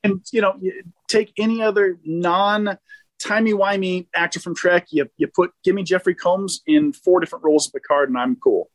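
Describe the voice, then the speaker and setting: Male caller, slightly high voice, dry close sound, hesitant and informal fan-discussion delivery. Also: fairly even intonation, moderate pace, no echo, poor audio. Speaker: caller to a fan talk show.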